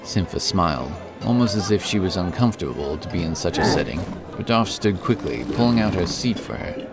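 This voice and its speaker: A man's voice: low voice, lively intonation, fairly quick. A man narrating a scripted fantasy story. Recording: narration over prominent music. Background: music.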